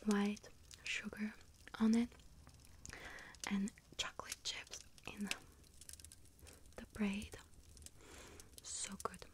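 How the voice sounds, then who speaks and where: A woman acts out a friendly little witch serving in a bakery, in an ASMR roleplay. The voice stays in a soft, breathy whisper and moves slowly. The manner is gentle, chatty and sweet-toothed, savoring each treat with small hesitations. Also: mid-range voice. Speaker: ASMR roleplay performer as bakery shop assistant.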